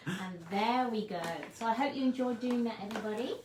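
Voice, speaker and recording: Woman in an echoey room, higher voice. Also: moderate pace, noisy background. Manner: encouraging, gentle nursery-teacher talk. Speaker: children's story time and craft presenter. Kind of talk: children's craft demonstration and chat.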